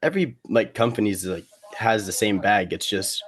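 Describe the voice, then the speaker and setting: A man chatting casually as a podcast guest, rambling and halting, with plenty of slang. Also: fairly low pitch, expressive, a bit bright. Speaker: guest, competitive cornhole player.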